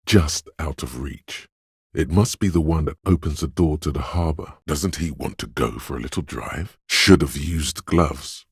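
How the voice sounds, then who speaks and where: A man voicing the brooding, sly inner voice of a detective's mind in a video game, narrating in a measured, theatrical way with a gravelly, husky voice. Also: extremely low pitch, no room sound, studio quality, heavily acted. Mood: urging, scheming. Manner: measured, deliberate, theatrical delivery. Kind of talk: dramatic scripted game narration of inner thoughts.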